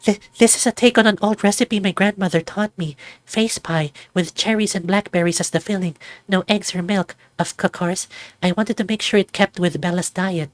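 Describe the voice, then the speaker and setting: A bashful young moth-creature woman as put on by a reader speaks in a raised, high voice. She stammers and apologizes softly through a visual novel scene, easily flustered and eager to please. The sound is close and dry. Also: fairly even intonation, normal speed, no echo, close to an everyday voice. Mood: bashful, nervous. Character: shy, flustered young female moth-winged sweetheart.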